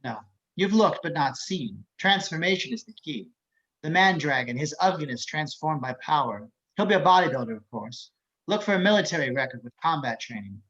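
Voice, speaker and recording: A cultured, menacing male psychiatrist killer, acted by a man in a drama read over a video call. His voice sits a little high, and he taunts in measured phrases with theatrical pauses. The sound is dull and lo-fi. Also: expressive, a bit bright. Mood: coolly superior.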